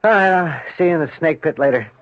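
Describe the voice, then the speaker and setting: An actor playing a blunt, breezy, streetwise emergency doctor in a 1970s radio drama. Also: male voice, a little high, animated, fast, fairly bright tone, faint music behind. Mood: brisk, flippant. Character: brisk, slangy, blunt male emergency-room doctor. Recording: muffled old radio broadcast.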